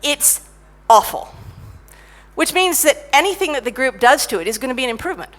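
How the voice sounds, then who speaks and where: Lively woman giving a conference talk. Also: sits high, fairly quick. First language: English. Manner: lively, self-mocking, animated storytelling. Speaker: conference speaker, technical writer.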